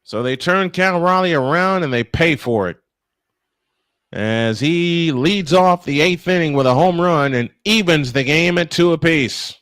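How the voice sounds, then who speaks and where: A man's voice: a little high, expressive, a little slow, a bit bright, no room sound, studio quality. An American man doing live baseball play-by-play mixed with casual banter. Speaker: play-by-play commentator.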